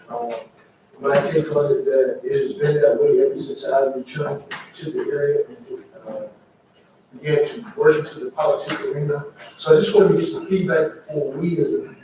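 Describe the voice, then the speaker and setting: A man speaks in halting, polite phrases full of pauses, raising a concern before a public body. His fairly high voice sounds distant in a strongly reverberant meeting room with faint noise. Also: warm tone. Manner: halting, many uh fillers, polite. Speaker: state representative. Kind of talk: hesitant formal remarks at a public meeting. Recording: echoey meeting room from far off.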